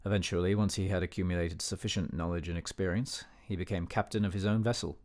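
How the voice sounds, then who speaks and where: An actor plays an older, educated gentleman narrating a period radio drama in a low voice. He is measured and formal, with a dignified, censorious air. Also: fairly even intonation, close to an everyday voice. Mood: measured, reflective. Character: disapproving, educated older man narrating a memoir.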